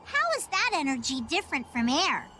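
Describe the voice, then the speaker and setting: High, whimsical little seafaring girl. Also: big pitch swings, brisk, pushed and bright, soft background music, exaggerated voice. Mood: curious, grandiose. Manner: quaint, theatrical phrasing. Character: whimsical, grandiose young girl with seafaring talk. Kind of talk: animated game voice acting.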